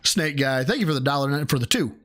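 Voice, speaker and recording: A man's voice: mid-range voice, lively intonation, quick pace, fairly bright tone. A man doing profane, sarcastic reaction commentary on a clip, mocking the speaker and reading out viewer messages. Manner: sarcastic, swears a lot, mocking.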